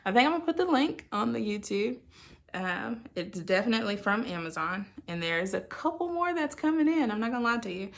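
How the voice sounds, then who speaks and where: A woman's voice: on the high side, big pitch swings, a little fast, vocal fry. A woman talking informally and candidly to her viewers, like a chatty personal video diary. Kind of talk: casual confessional chat to viewers.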